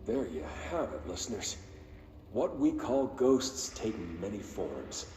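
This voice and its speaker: Low-voiced late-night paranormal radio host. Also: male voice, big pitch swings, slow pace, darkish tone, a little hiss or hum. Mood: earnest, mysterious. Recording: roomy echo with music behind.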